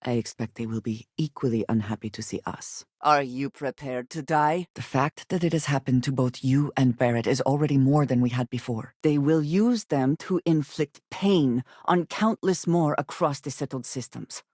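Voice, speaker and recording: Guarded female space adventurer, solemn, formal measured phrasing, video game dialogue, dry studio sound. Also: a bass voice, a little mellow, dry recording. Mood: dry, reserved. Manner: formal, measured speech without contractions. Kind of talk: scripted video game voice acting.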